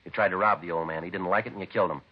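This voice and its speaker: Deep, clipped radio police detective. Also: male voice, very fast, husky. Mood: dry, accusing. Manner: clipped, matter-of-fact interrogation. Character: terse, methodical male police detective, patient but unbelieving. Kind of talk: 1950s radio drama acting. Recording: dull vintage radio recording.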